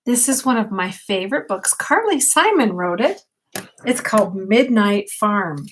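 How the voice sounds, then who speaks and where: An older woman, a grandmother, reading a picture book aloud at bedtime, expressively, with gentle questions to a child. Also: mid-range voice, animated, unhurried.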